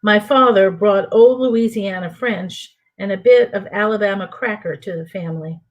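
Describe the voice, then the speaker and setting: Older new orleans lady reading her book aloud, slow and measured, mid-pitched. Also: lo-fi sound. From New Orleans, USA. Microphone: home computer mic for an online reading.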